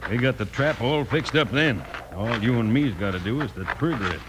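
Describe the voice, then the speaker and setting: An actor playing a sneering, scheming Western outlaw boss who talks tough and gloats, in an old-time radio drama. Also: male voice, medium pitch, expressive, a little fast, natural voice. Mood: gloating, scheming. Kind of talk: 1940s-50s Western radio acting. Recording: noisy old radio recording.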